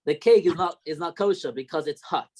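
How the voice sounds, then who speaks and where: A man's voice: on the high side, brisk, darkish tone. A young man with a light Australian accent, a rabbi teaching an informal class and explaining step by step with questions.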